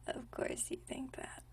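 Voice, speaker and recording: A woman playing a gentle, nostalgic century-old vampire in a whispered ASMR roleplay, speaking softly and intimately with unhurried, genteel old-fashioned phrasing. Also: recorded from a distance, lightly acted. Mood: tender, wistful. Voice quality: soft breathy whisper.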